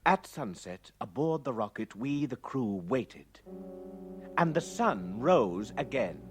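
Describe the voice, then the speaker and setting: A man's voice: mid-range voice, very animated, slow pace, faint music behind. A man acting as an awed spaceship crewman, giving grand, lyrical and solemn narration in a radio drama. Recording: faintly noisy 1960s radio recording.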